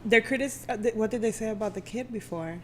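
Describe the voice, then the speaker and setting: A woman's voice: on the high side, fast, a little hiss or hum. A woman chatting informally in a casual, conversational group discussion. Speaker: podcast guest.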